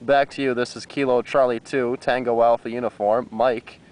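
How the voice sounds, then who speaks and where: A man's voice: mid-range voice, little pitch movement, normal speed, darkish tone, poor audio. An American man chatting casually as an amateur radio operator, with a hesitant, rambling manner. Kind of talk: casual radio contact chat.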